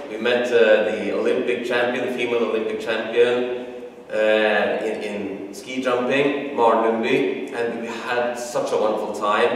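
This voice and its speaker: Norwegian-accented male martial arts teacher, middle-aged, heartfelt and halting, roomy distant sound. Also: mid-range voice, a little hiss or hum. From Norway. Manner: earnest, emotional, frequent restarts. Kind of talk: heartfelt video tribute. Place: martial arts studio, indoors. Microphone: camera at a distance. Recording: echoey room, far from mic.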